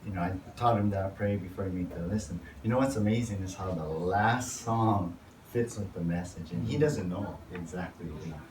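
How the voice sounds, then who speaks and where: Relaxed, rambling pastor, roomy and noisy. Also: male voice, low voice, normal speed. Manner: relaxed, rambling, many "yeah" and "you know". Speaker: pastor leading a worship workshop. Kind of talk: casual workshop Q&A teaching. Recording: roomy, far from mic, noisy.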